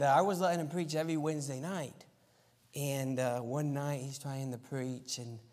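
A man's voice: on the high side, a little mellow. A man who grew up in Argentina, preaching as a visiting missionary in an informal, plain-spoken way to a congregation. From Argentina, as a missionary kid. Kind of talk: sermon with anecdotes and prayer. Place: church sanctuary, live Sunday service. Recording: echoey church hall with long reverb.

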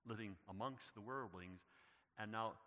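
A lower-pitched man preaches a sermon with a bright, forceful tone. His delivery swells into loud, emphatic declarations. His voice rings with the long reverb of a church hall. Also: normal speed. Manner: builds to loud, emphatic preaching, addresses listeners as beloved. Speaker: pastor.

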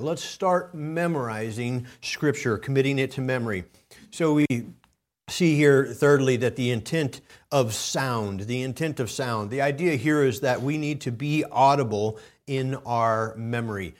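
A man preaching a sermon to his church as pastor, folksy and storytelling, his voice a little gravelly. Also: medium pitch. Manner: folksy storytelling, loud bursts when quoting his own preaching. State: slightly gravelly voice.

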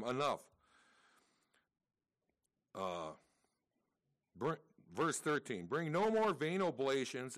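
A man with a loud voice teaching a church verse by verse. He reads scripture aloud with strong emphasis and breaks into casual asides to his congregation. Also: medium pitch. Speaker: pastor.

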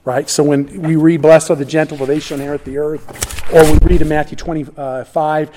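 A middle-aged man preaching a sermon, animated, acting out quoted lines, with frequent restarts. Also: a little high, normal speed. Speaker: pastor.

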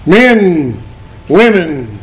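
An old man in his eighties preaching a sermon in a church, quoting scripture in slow, emphatic phrases. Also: a little high, very animated. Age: 80s. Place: church sanctuary. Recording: very echoey church from far off.

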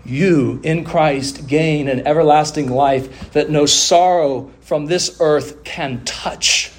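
A man delivering a sermon as a preacher, with emphatic oratory, deliberate pauses, drawn-out phrases and rhetorical refrains. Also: fairly high pitch. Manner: rhetorical questions and repeated refrains.

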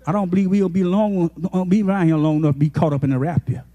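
A man in his eighties, a pastor, preaching a folksy, impassioned sermon that rises to shouted peaks. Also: sits high, steady tone, quick pace, muffled recording. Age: 80s.

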